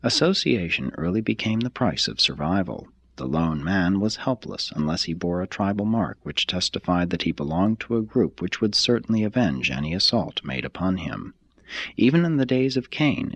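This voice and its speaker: A man reading a formal text aloud as scripted narration, in a measured, deliberate manner. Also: deep voice, sing-song, breathy edge, no echo. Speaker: audiobook reader.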